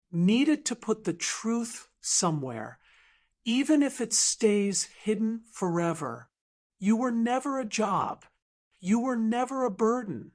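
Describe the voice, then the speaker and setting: A woman playing a wounded, regretful woman in an audio drama, confessing in an earnest, halting, emotional way. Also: medium pitch. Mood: tender, apologetic. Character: wounded, regretful woman confessing her fears to a lost love.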